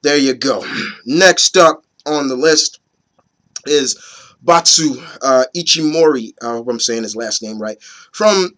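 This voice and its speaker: Hoarse man chatting with a cold. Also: mid-range voice, slow, fairly bright tone. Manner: rambling, with many fillers and restarts. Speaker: host of an opinion video series. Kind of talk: casual chat to camera.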